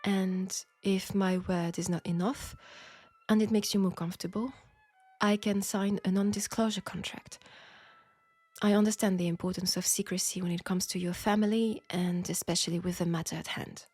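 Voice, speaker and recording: A woman's voice: mid-range voice, steady tone, normal speed, a little mellow, husky, soft background music, lightly acted. A woman with a French accent playing a polite, formal, self-assured seamstress in a steampunk audio roleplay drama. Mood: discreet, formal. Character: proud, outspoken, formal young seamstress in steampunk Paris.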